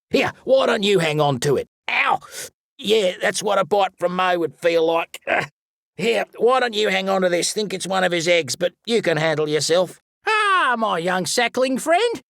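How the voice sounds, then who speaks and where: A man's voice: high voice, creaky, fairly bright tone, no room sound, clean studio sound, heavily acted. A man playing an eccentric, self-important Australian wildlife explorer in a video game, chatty and pompous, rambling through long muddled words. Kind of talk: animated video game character acting.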